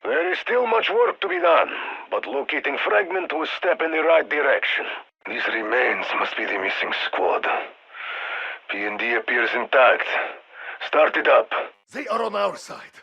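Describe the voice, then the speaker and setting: A battle-hardened Russian commander issues clipped, formal mission orders over a muffled radio. His voice is fairly high for a man, with a heavy gravelly rasp and a Russian accent. He sounds stern and commanding, like a military handler in a video game. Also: wildly swooping pitch, moderate pace, sharp tone, exaggerated voice. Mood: grave, urgent, then terse, low-key.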